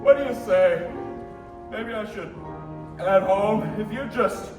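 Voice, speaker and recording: A man's voice: sits high, slow pace, distant mic. A teenage male student actor playing a jolly, muddled older uncle in a radio play, exclaiming and repeating himself. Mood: tipsy, merry. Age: teens. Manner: exclaiming, wandering, repetitive. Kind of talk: old-time radio play acting on stage. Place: high school theater stage. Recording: echoey hall from afar, music behind.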